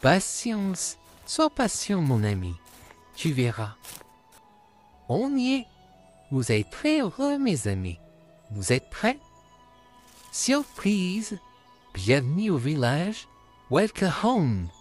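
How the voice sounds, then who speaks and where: A man voicing a friendly, courteous male puppet in an amateur comic dub, calmly addressing his friends. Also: a little high, slow pace, long pauses, faint music behind, full character voice. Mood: proud, cheerful. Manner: calm, courteous, repeatedly addressing listeners as friends.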